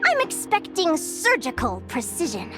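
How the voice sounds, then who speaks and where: A woman's voice: high-pitched, huge pitch swings, normal speed. An actress voicing an earnest, cheerful young winged girl who is not human and talks eagerly. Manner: cheerful, eager, a bit flustered at times. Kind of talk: video game voice acting. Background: game music.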